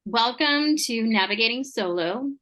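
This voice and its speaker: A woman's voice: on the high side, lively intonation, a little slow, fairly bright tone, rough recording. A woman hosting a podcast interview, encouraging and affirming toward her guest. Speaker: podcast host.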